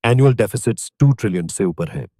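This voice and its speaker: A man with an Indian accent reading scripted documentary narration in measured, emphatic short phrases. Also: medium pitch, lively intonation, dark tone, no room sound, clean studio sound.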